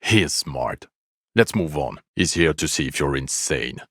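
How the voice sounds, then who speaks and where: A male actor playing a bitter, exasperated, foul-mouthed police detective in a video game, scolding with weary sarcasm and contempt in a gravelly voice. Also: very deep voice, lively intonation, a little slow, a bit bright, dry recording, clean studio sound, exaggerated voice. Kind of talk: video game character dialogue.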